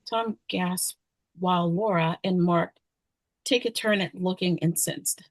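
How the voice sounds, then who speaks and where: A woman's voice: fairly low pitch, measured pace, no echo. A woman reading stage directions as scripted narration at a screenplay table read.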